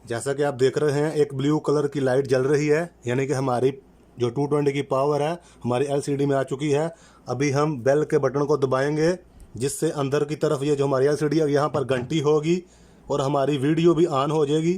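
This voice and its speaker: A man presenting a methodical, step-by-step installation tutorial in an instructional way, repeating key terms to make them clear. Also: on the high side, level tone, moderate pace, a little mellow. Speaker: electrician presenting a how-to channel.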